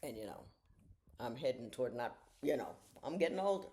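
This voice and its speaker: A woman in her sixties talking casually to viewers from home in the early morning, giving frank, folksy advice and sometimes dropping to a soft half-whisper. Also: extremely low pitch, lively intonation, fairly quick. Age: 60s. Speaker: retired judge giving life advice. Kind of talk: casual reflective talk to viewers. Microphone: camera she leans toward.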